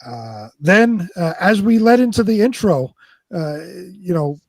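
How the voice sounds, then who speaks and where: Higher-voiced man, casual co-host chat. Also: sing-song, measured pace, dry recording. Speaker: co-host of a live online show.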